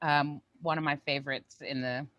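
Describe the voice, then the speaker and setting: A woman's voice: low voice, steady tone, normal speed, no room sound, highs cut off. Female show host, dry close sound. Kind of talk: casual hosting and announcements.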